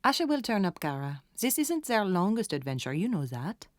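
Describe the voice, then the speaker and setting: An actress playing a patient, kindly household woman in a children's audio drama, gentle and coaxing. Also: mid-range voice, very animated, a little fast, dry recording, clean studio sound, close to an everyday voice. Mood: gentle concern. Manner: gentle, coaxing, sprinkles in French.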